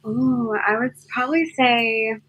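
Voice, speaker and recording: A woman's voice: fairly high pitch, a little slow, a bit bright, highs cut off. A woman in her twenties from Connecticut, a music artist chatting casually as an interview guest. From Connecticut, United States.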